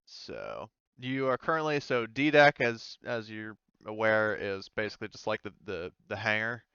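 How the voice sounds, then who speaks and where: A man running a tabletop game as game master, narrating casually and hesitantly as he thinks out loud. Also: lowish voice, lively intonation, moderate pace, clean tone, no echo. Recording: slightly dull, dry close recording.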